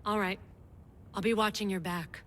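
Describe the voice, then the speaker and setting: A woman's voice: medium pitch, fairly even intonation, moderate pace, background noise, lightly acted. A woman playing a dry, watchful teammate with terse delivery in a video game. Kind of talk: naturalistic video game acting.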